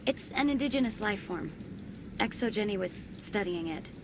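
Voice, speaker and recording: A woman's voice: medium pitch, lively intonation, moderate pace, background noise, natural voice. A woman playing an anxious young research assistant in a video game, earnest and hesitant in manner. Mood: uneasy, hesitant.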